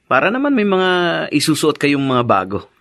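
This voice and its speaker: An actor playing a dutiful, caring grown son and young husband in a Filipino radio drama, polite and earnest. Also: male voice, on the high side, wildly swooping pitch, normal speed, a bit bright, no room sound. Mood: caring, eager.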